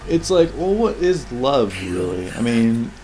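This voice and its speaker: A man's voice: mid-range voice, sing-song, measured pace, crowd noise, rough recording. A man giving casual, opinionated commentary on game cutscenes, rambling and musing in questions. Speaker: video game reviewer. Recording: voice-over with game music behind.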